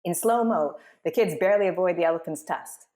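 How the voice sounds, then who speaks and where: A woman's voice: on the low side, brisk, dark tone. A woman hosting a live table read and narrating the scripted scenes. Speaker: host and narrator.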